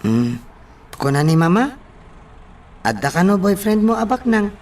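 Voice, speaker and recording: A woman's voice: mid-range voice, very animated, a little slow, darkish tone. A woman acting a naive, timid young housemate in an Ilocano radio drama, hesitant and questioning. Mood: surprised. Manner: questioning, hesitant, surprised interjections. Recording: slightly distant mic, faint background noise.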